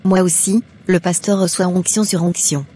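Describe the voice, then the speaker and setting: A woman's voice: lowish voice, steady tone, moderate pace, dark tone, husky. A woman voicing a devout, admiring churchgoer in a cartoon, speaking earnestly. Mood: impressed. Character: devout, impressed female church member. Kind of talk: dramatic animated dialogue.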